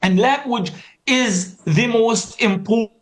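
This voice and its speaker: Panel speaker: man from Palestine, fairly high voice, impassioned, repeats key words to drive them home. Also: slow pace. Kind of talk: conference speech.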